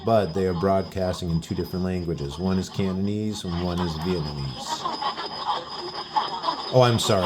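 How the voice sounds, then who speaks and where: A man giving a casual, unscripted narrated demonstration as a radio hobbyist host, explaining things informally. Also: low voice. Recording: loud shortwave radio static and noise. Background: shortwave radio broadcasts and static.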